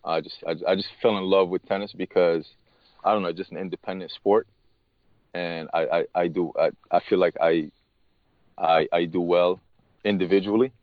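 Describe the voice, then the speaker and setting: A man, a tennis coach being interviewed, speaking haltingly and often restarting his words. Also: sits low, steady tone, dry recording, highs cut off. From Morocco. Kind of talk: casual interview talk.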